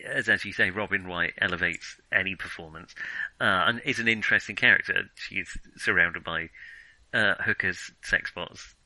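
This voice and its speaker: Male podcast co-host, very bright and sharp, dry sound, informal, wry film chat. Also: deep voice, normal speed, dry recording, rough recording. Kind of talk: casual film discussion.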